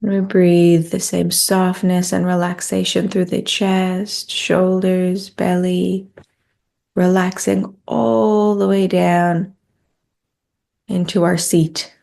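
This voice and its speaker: A woman leading a guided meditation in a gentle, soothing, unhurried manner. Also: fairly low pitch, little pitch movement, creaky.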